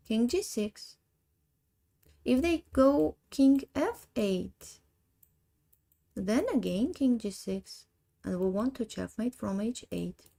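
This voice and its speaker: A woman in her thirties with an Armenian accent, thinking aloud slowly in halting English as she works through chess puzzles on a live stream. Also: a little high, lively intonation, gravelly, warm tone. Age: 30s.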